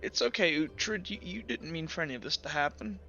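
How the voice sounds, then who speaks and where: A man's voice: sits high, unhurried, sharp tone, highs cut off, faint music behind, voice pushed up, exaggerated voice. Man playing a sniffly, hesitant teenage boy. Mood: sad, tearful. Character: tearful, trusting orphaned teenage boy.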